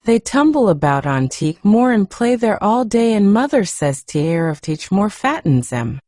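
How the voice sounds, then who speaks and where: A woman's voice: mid-range voice, very animated, unhurried, dark tone, no room sound, clean studio sound. A woman voicing a good-tempered, outspoken young Yorkshire housemaid in a storybook reading, chatty and homely.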